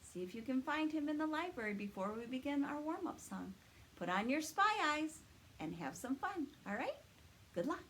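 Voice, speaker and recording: Female children's librarian, high voice with big swoops, sing-song and exaggerated for little kids, slight room noise. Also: sing-song, normal speed, low-quality recording. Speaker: children's librarian, story time host. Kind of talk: children's story time, talking to young viewers and a puppet friend. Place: indoors in a library.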